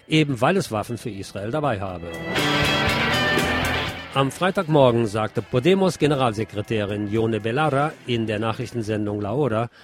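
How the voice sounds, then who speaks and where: Man reading radio news over music. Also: medium pitch. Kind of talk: reading scripted news commentary on community radio.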